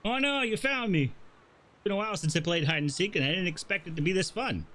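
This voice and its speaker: Man as cheerful young child, game character, eager, excitable, raised pitch, background music. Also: fairly quick.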